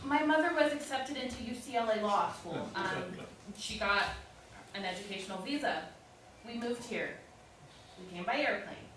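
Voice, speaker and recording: A woman's voice: medium pitch, expressive, normal speed, a bit bright, close to an everyday voice. A woman playing a polite, patient young guest in a live staged reading, hesitant and courteous. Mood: patient, correcting. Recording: very echoey room, distant mic, hiss.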